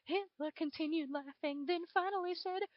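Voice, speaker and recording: A very high-pitched Southern belle dragon performed by a woman tells a story with theatrical, drawn-out emphasis. The recording is dry and somewhat dull, with a homemade lo-fi finish. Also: dry recording. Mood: dramatic storytelling. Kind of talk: dramatic fan fiction reading.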